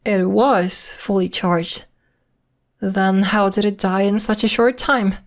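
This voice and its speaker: A woman playing a secretive, polite and protective young werewolf in an ASMR roleplay, speaking in a measured, hesitant, matter-of-fact way. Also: medium pitch. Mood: concerned, mildly reproving.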